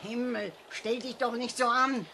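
A woman's voice: on the high side, fairly even intonation, a little slow, background noise. A woman playing a scolding, impatient mother in a children's radio play. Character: scolding mother gathering pine cones.

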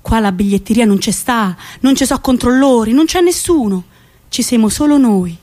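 A woman's voice: on the high side, animated, normal speed, dark tone, pitched below the performer's own voice. A woman playing a plain-spoken rural mother with a central Italian country accent, earthy and colloquial, in a theatre piece. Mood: proud, explaining. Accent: central Italian, Roman country dialect. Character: plain-spoken, hardworking rural mother, dialect-speaking railway keeper.

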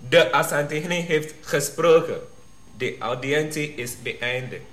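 Bright, slow, ceremonious royal spokesman. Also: a little high. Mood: solemn, proclaiming. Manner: formal, ceremonious. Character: solemn royal spokesman of an Ashanti king. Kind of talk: radio drama acting. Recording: roomy sound with faint noise.

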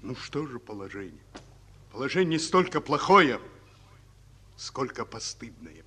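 Forceful, husky, fatherly Soviet colonel. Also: medium pitch, big pitch swings, measured pace, exaggerated voice. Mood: grave, resolute. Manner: fatherly, old-fashioned endearments, commanding. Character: wounded Soviet colonel, brisk, fatherly, dutiful. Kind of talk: Russian radio play acting.

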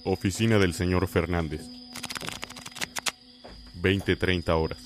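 A man's voice: low-pitched, steady tone, slow, noisy background. A man giving terse scripted scene announcements for a radio drama.

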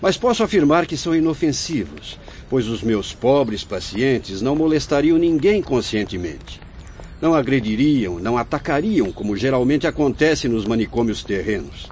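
An actor playing a kindly, venerable old spirit who runs an asylum, with a Brazilian accent, speaking in a measured, teacherly way in a radio drama. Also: male voice, mid-range voice, big pitch swings, a little mellow, slight background noise, lightly acted. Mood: grave, patient. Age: older. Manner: measured, benevolent, didactic. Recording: muffled audio with music.

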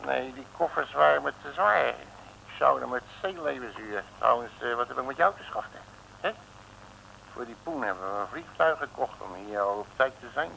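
An actor playing a brash, wisecracking detective with blunt slang in a comic radio serial. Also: male voice, medium pitch, animated, normal speed, creaky, sharp tone, slight background noise, heavily acted, low-quality recording. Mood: defiant, flippant. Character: brash, streetwise Dutch detective, blustery and wisecracking. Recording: old 1950s radio recording.

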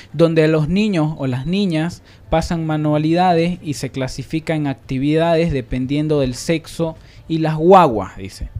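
A man's voice: a little high, a little mellow. A man hosting a radio talk show, reading out messages and introducing guests. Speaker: radio host.

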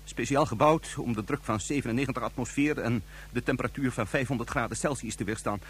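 An actor playing a frightened, reluctant secret agent in a radio play, stammering and pleading with a husky, jittery voice. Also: male voice, medium pitch, quick pace, a bit bright. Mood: nervous, reluctant. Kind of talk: radio drama acting.

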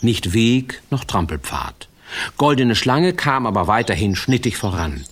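A man narrating a fairy-tale radio play in a wry, playful storytelling manner with drawn-out emphasis. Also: lowish voice, very animated, slight background noise.

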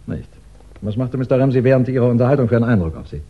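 An actor playing a courteous, probing detective who questions witnesses methodically in a 1960s German radio crime drama. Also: male voice, medium pitch, lively intonation, rapid-fire, lightly acted. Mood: polite, insistent. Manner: methodical, polite, insistent questioning. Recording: dull 1960s radio recording.

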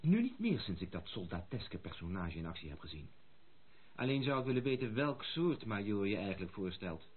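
An actor playing a self-assured, courteous but stubborn young gentleman in a radio drama, speaking with formal irony. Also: on the low side, very animated, brisk, slightly rough. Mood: ironic, earnest. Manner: ironic, formal. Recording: tinny, noisy old radio recording.

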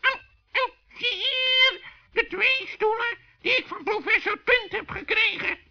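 A man's voice: very high-pitched, unhurried, gravelly, pushed and bright, pitched above the performer's own voice, heavily acted. A man voicing a boastful, whiny, naive little seahorse creature in a children's radio play, exclamatory and self-important. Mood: proud, boastful. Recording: muffled vintage 1960s radio recording.

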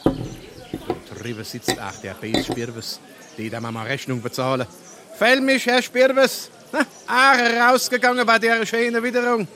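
Pompous Hessian know-it-all, swooping voice. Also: male voice, very high-pitched, animated, measured pace, a crowd in the background. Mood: gossipy. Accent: Darmstadt Hessian. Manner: pompous, lecturing. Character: self-satisfied father, pompous newspaper-obsessed amateur politician. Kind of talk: dialect stage comedy acting.

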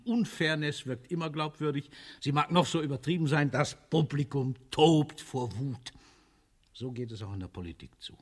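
World-weary, cynical military ruler. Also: male voice, a little high, fairly bright tone, slightly rough. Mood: sardonic, emphatic. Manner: bitter, deliberate, rhetorical. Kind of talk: theatrical radio drama monologue.

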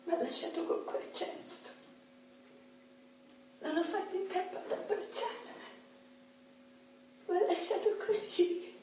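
An actress playing a working-class Roman wife of a petty crook, streetwise and theatrical, with a Roman accent, in a radio drama. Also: female voice, very high voice, fairly even intonation, measured pace, pauses often, a little mellow. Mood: sorrowful, subdued. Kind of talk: stage-style radio drama acting. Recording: distant, very echoey hall with faint noise.

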